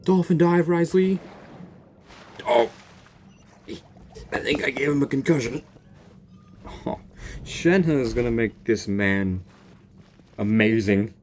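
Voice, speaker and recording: A man's voice: mid-range voice, slow pace, long pauses, darkish tone, a little hiss or hum. A man doing casual game reaction commentary, talking to himself expressively as he plays. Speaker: gaming video host. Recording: game music behind voice.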